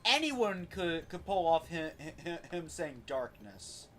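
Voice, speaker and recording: Higher-voiced man hosting a stream, halting delivery. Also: very animated, fairly bright tone, a little hiss or hum. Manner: halting, stumbling and repeating words. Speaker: game streamer, host. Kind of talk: casual livestream chat. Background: faint game music.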